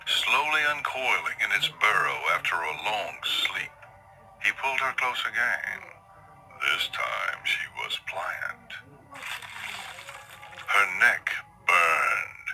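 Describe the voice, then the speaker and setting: A man reads a dark tale as a theatrical narrator. His fairly low voice swings in a lively way, with a very sharp, forceful edge and hushed emphasis on key words. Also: slow pace, creaky, faint music behind, rough recording. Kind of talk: dramatic scripted story narration.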